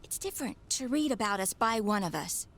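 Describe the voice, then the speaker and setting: An actress playing a brisk, motherly visiting caregiver in a 1930s radio drama, reassuring and full of instructions. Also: mid-range voice, lively intonation, lightly acted. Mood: warm, chatty.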